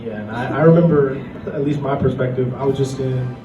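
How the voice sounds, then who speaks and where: A man's voice: medium pitch, fairly quick, mellow, muffled recording. Young man on an echoey convention panel. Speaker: convention panelist, art director. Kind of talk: casual panel talk. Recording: echoey room, distant mic, music behind.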